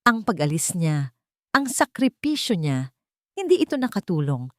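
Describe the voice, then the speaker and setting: A woman narrates a romance story from a script in a dramatic style. Her voice sits a little low. The recording is very dry, with no room sound. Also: very animated, normal speed, dry recording.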